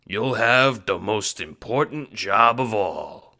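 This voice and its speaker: Man as gangster enforcer, gruff, stern, bumbling, forceful, drawn-out delivery, audio drama. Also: fairly low pitch, lively intonation, voice pushed up, full character voice. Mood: coaxing, faltering. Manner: stern but faltering, drawn-out words.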